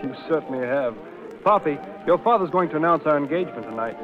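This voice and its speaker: An actor playing an affectionate young fiancé in an old radio play. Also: male voice, on the high side, lively intonation, fast talker, natural voice. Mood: fond, easy. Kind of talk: 1930s radio acting. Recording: dull vintage radio recording, music behind.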